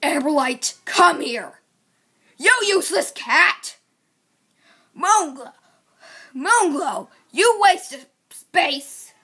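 A woman voicing a very grumpy old male cat, shouting scornful insults in an amateur audition. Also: high voice, expressive, drawn-out words, pauses often, pitched above the performer's own voice, exaggerated voice. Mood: angry, scolding.

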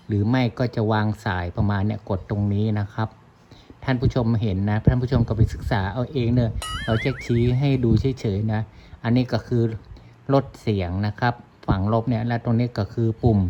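A man's voice: medium pitch, a little mellow. A man giving a casual, unscripted product demonstration as a vlogger, rambling and self-deprecating as he often addresses his viewers. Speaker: vlogger demonstrating motorcycle gear. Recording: clear ambient background noise.

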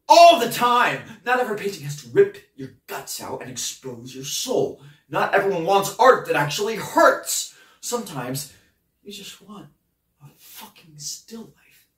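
A man performs an indignant young painter's assistant taking his mentor to task on stage. His delivery is scornful and highly animated, with deliberate, drawn-out pacing. The voice rings in an echoey room. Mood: scornful fury, then mocking. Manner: impassioned, scornful, dramatic swings from shouting to hushed. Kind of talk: theatrical stage monologue. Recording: roomy echo, distant microphone.

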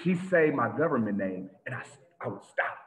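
A man preaching an animated sermon to a congregation over a video call, with lots of repetition and sudden shouts. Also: mid-range voice, moderate pace. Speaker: teacher of a congregation. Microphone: video call webcam. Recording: tinny phone-like echoey audio.

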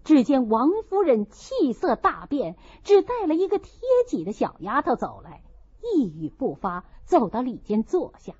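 Female audiobook narrator: unhurried, mellow delivery, slightly dull recording. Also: a little high, very animated, breathy edge. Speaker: audiobook reader. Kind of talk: expressive storybook narration of a classic novel.

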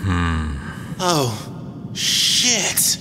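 An actor playing a nerdy, nervous young tech expert in an audio drama, hesitant and dryly sarcastic. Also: male voice, on the low side, huge pitch swings, very slow, heavy background noise, heavily acted. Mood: confused. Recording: long reverb, loud music behind.

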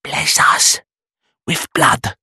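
An actor playing a bitter, half-mad townsman in a video game, raspy and creaky, theatrical, swinging into manic highs. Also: very slow, bright, forceful tone, dry recording, studio quality, exaggerated voice. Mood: manic, mocking. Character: bitter, half-mad male townsman shut indoors, sneering and giggling. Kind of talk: video game character acting.

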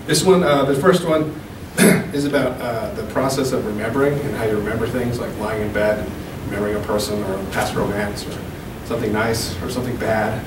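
A man in his thirties reading poems at an open mic into a handheld mic, slow and deliberate, with casual asides between poems. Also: lowish voice, steady tone. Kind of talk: poetry reading. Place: small room at a podium. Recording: echoey room, camera from far off, hum.

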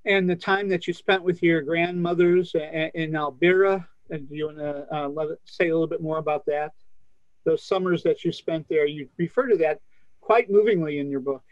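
A man in his sixties interviewing a guest over a video call from a home study, asking his questions haltingly. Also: a little high, no echo. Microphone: laptop webcam on video call. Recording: dull, dry webcam audio.

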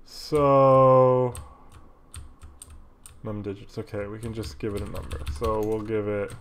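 A man's voice: lowish voice, flat delivery, slow pace. A young man narrating live coding casually, muttering absent-mindedly to himself as he types. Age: young adult. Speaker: programmer livestreamer. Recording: noisy room with keyboard clicks. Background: typing, clicks, faint noise.

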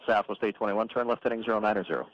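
Brisk male controller over radio. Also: lowish voice, fairly even intonation. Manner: brisk, procedural, with filler pauses. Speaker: air traffic controller. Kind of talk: radio phraseology. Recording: muffled radio transmission with hiss.

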